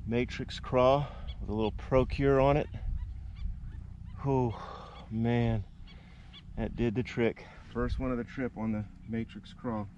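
A middle-aged man in a kayak, reacting out loud as he fights and lands a big fish, exclaiming and talking to the fish. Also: lowish voice, muffled recording. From Louisiana. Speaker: kayak angler. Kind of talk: live on-camera reaction while fishing. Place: kayak on open water outdoors. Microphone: action camera mounted on the kayak. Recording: outdoor wind and water noise.

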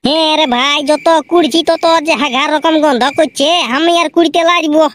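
An actor voicing a lazy, sly young village labourer in a comedy cartoon, whiny and argumentative, with a pitched-up effect. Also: steady tone, fairly quick, fairly bright tone, slight background noise. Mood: grumbling.

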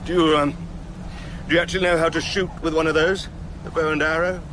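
An actor playing a desperate, half-mad lone survivor in a radio drama, theatrical and bitter. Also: male voice, on the high side, level tone, brisk, natural voice. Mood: shaken, weary. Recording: loud ambient effects noise behind voice.

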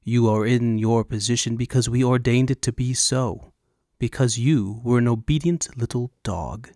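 A man's voice: mid-range voice, normal speed, darkish tone, no room sound, clean studio sound, natural voice. A man voicing an imperious witch hunter in an audiobook, with a cold, menacing drawl. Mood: cold menace.

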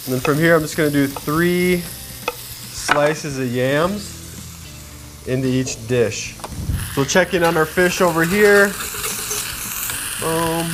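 A man in his thirties hosting a cooking demonstration in a studio kitchen, chatty and energetic, talking straight to the viewers. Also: a little high, slow, pauses often, background noise. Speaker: cooking show host. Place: home-style studio kitchen, indoors. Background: background music, sizzling pan.

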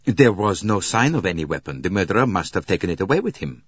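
A man's voice: low-pitched, sing-song, fast, slightly rough, no echo, highs cut off, full character voice. A man voicing an earnest, courteous doctor in an audiobook, eager and precise in a formal way. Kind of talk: audiobook character voice.

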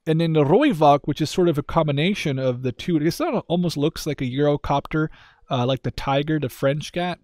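A man's voice: a little high, a little fast, a little mellow, no echo, studio quality. A man hosting a gaming video with casual, rambling and self-deprecating commentary in an informal style.

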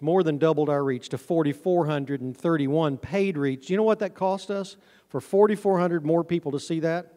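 A man's voice: on the high side, darkish tone. Folksy man speaking in a reverberant hall. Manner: folksy, conversational, self-deprecating. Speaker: conference speaker, honey farm business owner. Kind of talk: informal presentation to an audience. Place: large auditorium stage, indoors. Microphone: handheld microphone through PA, recorded from audience camera. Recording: hall reverb from stage sound system.

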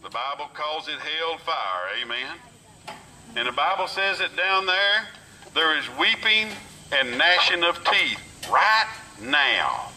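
A man's voice: a little high, lively intonation, takes it slowly, sharp tone, slight background noise. A man preaching in the street with fiery call-and-response energy, outdoors in a neighborhood.

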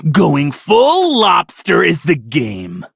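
A man voicing a zany, bombastic lobster warrior in a video game, theatrical and raspy. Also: on the high side, huge pitch swings, slow, fairly bright tone, dry recording, studio quality, full character voice. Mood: cocky, theatrical. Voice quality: raspy, gravelly. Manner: theatrical, bellowed battle cries. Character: zany male lobster warrior, bombastic self-styled crustacean crusader. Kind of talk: video game character voice lines.

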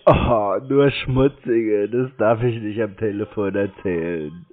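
A man in casual phone talk, deadpan and laconic, answering in few words on a muffled, tinny call recording. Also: lowish voice, slow pace. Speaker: call recipient baiting phone scammers.

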